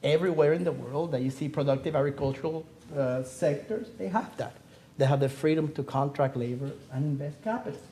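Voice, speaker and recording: A man's voice: on the high side, a little fast, dark tone, a little hiss or hum. A middle-aged Cuban-born man giving an earnest academic seminar talk as a panelist, pressing his points with rhetorical questions. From Cuba. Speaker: panelist speaking on the economy. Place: theater.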